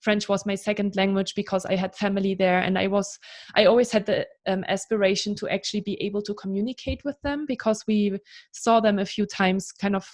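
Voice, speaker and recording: A woman's voice: mid-range voice, steady tone, a little fast. A German woman in her thirties, a guest in a casual interview, talking in a chatty way with lots of "kind of" and "you know".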